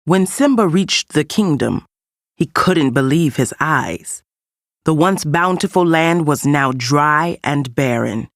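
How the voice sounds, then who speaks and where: Woman reading a kids storybook, low voice, slow pace, expressive delivery. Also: dry recording, studio quality. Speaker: storybook narrator. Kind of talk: scripted children's read-along narration.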